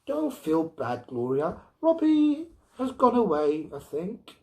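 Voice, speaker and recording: A man's voice: high-pitched, huge pitch swings, slow, voice pushed up, heavily acted. A man voicing a stubborn, exasperated mother in a story, firm and emphatic. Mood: soothing. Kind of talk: storybook character reading.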